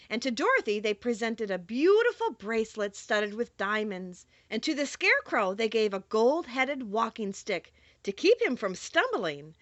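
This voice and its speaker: A woman narrating a children's storybook in an expressive, theatrical read-aloud style. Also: fairly high pitch, no room sound, lo-fi sound. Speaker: audiobook narrator.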